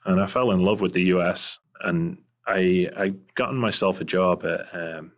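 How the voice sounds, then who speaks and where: A middle-aged man from Liverpool with a Scouse accent, talking through his career as an interview guest in a relaxed way, with many fillers. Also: sits low, normal speed. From Liverpool, England. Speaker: guest, private investigator. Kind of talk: casual interview talk.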